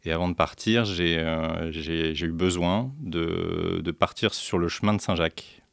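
A man with a very low voice answers questions on a local radio show. He hesitates a lot, restarting sentences and stringing fillers together. The studio sound is dry and close. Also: steady tone, measured pace, gravelly, dry recording. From central France, Orléans area. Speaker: guest, beekeeper and deacon. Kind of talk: radio interview.

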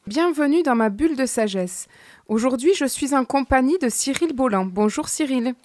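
Higher-voiced woman hosting local radio. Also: expressive, moderate pace, a little mellow, no room sound. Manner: friendly, conversational questioning. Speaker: radio host. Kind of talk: radio interview.

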